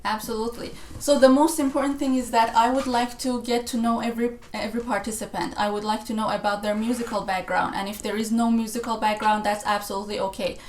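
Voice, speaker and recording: A young woman born in Iran speaks on a video call with a slightly high voice. She explains things earnestly and at a measured pace. The room around her gives a noticeable echo. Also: fairly even intonation. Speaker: choir director and guest. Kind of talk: conversational interview.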